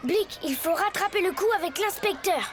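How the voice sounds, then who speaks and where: A woman's voice: very high voice, normal speed. A woman voicing an earnest, exasperated little male hero in a French children's cartoon, urgent and pleading. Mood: determined.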